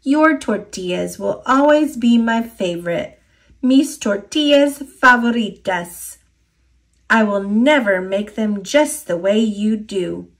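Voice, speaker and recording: A sweet little American girl played by a woman reader in a storybook. She sounds eager and affectionate, speaking slowly with a lively, swinging pitch.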